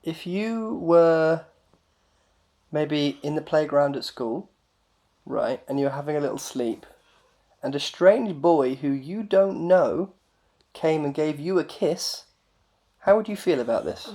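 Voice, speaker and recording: A man's voice: on the high side, expressive, slow pace, dark tone. A man talks casually with his young child as a father at bedtime. He sounds mock-concerned, picking things apart with questions. He explains his point patiently, in simple everyday words.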